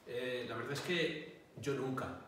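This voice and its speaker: A man in his forties with an accent from Spain speaks as an interviewed priest. His delivery is measured and explanatory, at a middling pitch. The sound is very reverberant and a bit distant, with faint noise. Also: fairly bright tone. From Barcelona, Spain. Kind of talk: interview answers, explaining faith. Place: indoor hall with wooden bench. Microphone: small mic held out at arm's length by interviewer.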